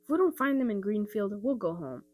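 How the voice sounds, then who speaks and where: A woman's voice: mid-range voice, lively intonation, fairly quick, a little mellow, natural voice. Woman reading as a baker guy in a kids story, matter of fact, bit grumbly, music behind. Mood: puzzled. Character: searching baker, a grown man. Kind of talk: children's storybook character voice.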